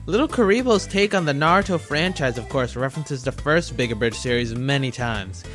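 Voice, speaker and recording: A man's voice: medium pitch, animated, a little fast, fairly bright tone. A man giving casual, wry review commentary in a conversational way, with rhetorical asides. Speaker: host of a countdown review video. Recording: music behind the voice. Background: guitar music.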